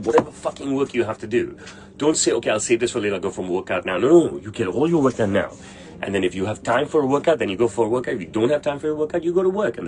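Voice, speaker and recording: A man's voice: fairly low pitch, slight background noise. A middle-aged man, a tailor, answering viewer questions in a blunt, brash way and swearing freely. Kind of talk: casual livestream Q&A.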